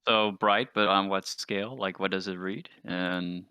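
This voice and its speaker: Very deep, bright-edged man, dryly recorded. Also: steady tone, a little slow, clear, resonant tone, dry recording. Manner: precise, technical, explanatory. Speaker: tabletop roleplaying player. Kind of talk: casual in-game discussion.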